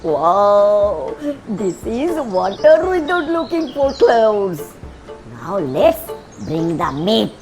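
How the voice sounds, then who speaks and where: A woman's voice: mid-range voice, very animated, extremely slow, exaggerated voice. A woman voicing a sly, cheeky, hungry fox in a children's cartoon, theatrical and scheming. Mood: sly, delighted. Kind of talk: children's cartoon character dialogue. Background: music behind the voice.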